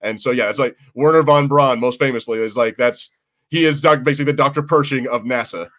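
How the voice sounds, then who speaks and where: An American man hosting a podcast in casual chat, animated and sweary, acting out imagined quotes as he goes. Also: medium pitch, a little fast. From United States. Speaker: podcast host.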